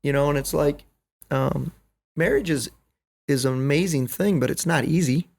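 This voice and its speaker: A man's voice: fairly high pitch, moderate pace, vocal fry, a little mellow, breathy edge. A middle-aged man talking earnestly and emphatically as a podcast guest, telling anecdotes and often checking in with the listener. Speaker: podcast guest, basketball coach. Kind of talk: conversational podcast interview.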